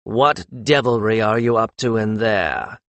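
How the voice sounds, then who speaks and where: A man voicing a furious, imperious lord magus in an audiobook, shouting and snarling with suspicion. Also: fairly low pitch, a little slow, dry recording. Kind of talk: audiobook character voice.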